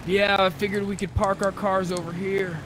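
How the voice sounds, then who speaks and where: Male gamer, slightly high pitch, casual in-character chat, light background noise. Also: flat delivery, normal speed, fairly bright tone. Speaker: roleplay gamer.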